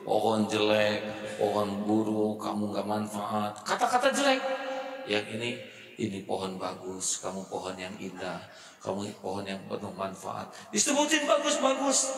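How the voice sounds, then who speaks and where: Middle-aged preacher in an echoey hall. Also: male voice, fairly low pitch, sing-song, normal speed, vocal fry, recorded from a distance, a little hiss or hum. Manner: conversational, often asks the audience to agree and swings between soft and loud. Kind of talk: casual sermon to a congregation. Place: large mosque hall, indoors. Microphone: handheld microphone.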